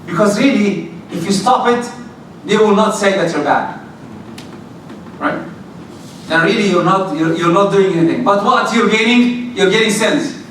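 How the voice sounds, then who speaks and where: A middle-aged man with a loud voice giving a religious talk to young people, talking to them directly with many rhetorical questions. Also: high-pitched, normal speed, long pauses. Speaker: lecturer addressing youth. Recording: very echoey hall, distant mic, faint noise.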